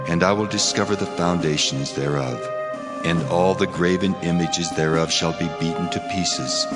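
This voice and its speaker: A man's voice: low voice, close to an everyday voice. A man playing a mighty, wrathful God who solemnly pronounces ruin and judgment in a dramatized audio Bible. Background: loud background music.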